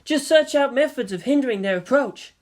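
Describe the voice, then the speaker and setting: Woman as earnest young male technician, raised pitch. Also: animated, brisk, darkish tone. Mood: urgent. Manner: crisp military reporting.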